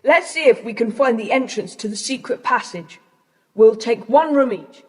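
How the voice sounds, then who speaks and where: A confident British boy played by a woman takes charge of his young companions in a children's audio adventure. The voice is fairly high, crisp and authoritative, set in long reverb with faint tape hiss. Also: expressive, normal speed, close to an everyday voice. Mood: explaining, assured. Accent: British English. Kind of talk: children's audiobook drama acting.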